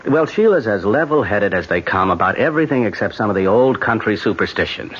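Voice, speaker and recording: Low, husky, hospitable cousin. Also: male voice, lively intonation, a little fast, fairly bright tone. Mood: reassuring. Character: hospitable, easygoing Irish male cousin and host. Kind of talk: 1970s radio drama acting. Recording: dull 1970s radio broadcast.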